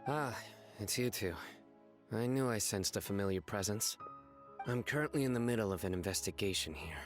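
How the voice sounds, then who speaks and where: A man voices a stern young enforcer for a video game. His pitch is low and his delivery is reserved and matter-of-fact. Faint music runs behind him. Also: lightly acted. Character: stern, composed young male chief enforcer. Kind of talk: English video game voice acting.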